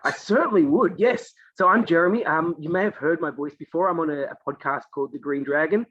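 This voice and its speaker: Fast-talking Australian man on a dull dry line. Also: fairly high pitch, a bit bright, husky, dry recording. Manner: quick, joking banter. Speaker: podcast guest. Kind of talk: casual online interview.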